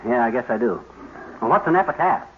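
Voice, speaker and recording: An actor playing a boastful, bumbling middle-aged husband in a 1950s radio sitcom, blustery and prone to mixing up words. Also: male voice, medium pitch, animated, fairly quick, close to an everyday voice. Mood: blustering. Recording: tinny hissy 1950s radio broadcast.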